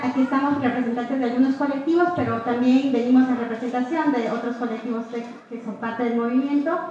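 A woman from Guatemala, giving a steady, explanatory talk on a panel with frequent "eh" pauses. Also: a little high, slight background noise. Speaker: activist speaker on a panel. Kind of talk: public talk presentation. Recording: echoey room, dull muffled sound.

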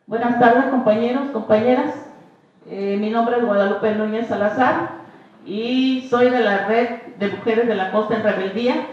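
A woman's voice: fairly high pitch, steady tone, moderate pace, darkish tone. A woman from Chiapas giving a brief, formal introduction of herself and her women's network. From Chiapas, Mexico. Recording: distant voice, echoey room, dull muffled sound.

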